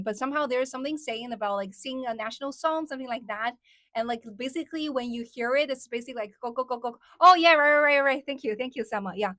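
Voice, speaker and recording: A woman from mainland China, speaking English, hosts a casual live stream and chats with her viewers. Her voice sits a little high. The sound is dry and close, with almost no room echo. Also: fairly bright tone. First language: Mandarin. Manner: chatty, laughs often, answers chat comments.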